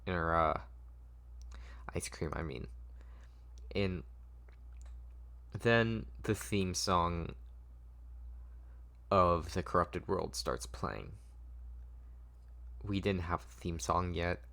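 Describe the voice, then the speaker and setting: A man's voice: deep voice, very slow, gravelly, long pauses, a bit bright. A man narrating a scripted story informally at a table read, stumbling over the script as he goes.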